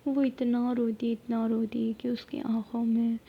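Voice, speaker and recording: Woman whispering a story, high and flat. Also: creaky, husky, highs cut off. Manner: soft steady whisper throughout.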